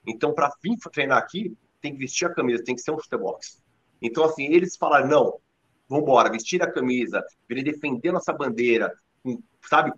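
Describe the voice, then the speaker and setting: A man in his thirties with a Brazilian Portuguese accent, speaking emphatically over a video call from his living room. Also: mid-range voice. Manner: energetic, emphatic, many fillers. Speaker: MMA team leader and coach. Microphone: laptop webcam on video call. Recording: dull lo-fi call audio.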